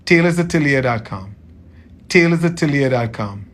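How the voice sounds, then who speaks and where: A man from Trinidad with a softened Trinidadian accent, chatting casually with viewers on a livestream as a tailor, friendly and often repeating himself. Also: medium pitch, animated, moderate pace. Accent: Trinidadian, softened toward American. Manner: friendly, repeats phrases, greets viewers by name.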